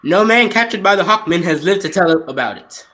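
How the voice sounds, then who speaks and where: Casting: man as loyal prince sidekick, cautious, earnest, very lively intonation, vintage serial drama. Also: high voice, fairly quick. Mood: worried. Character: loyal, cautious male space prince, hero's ally. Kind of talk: amateur old-time radio serial acting.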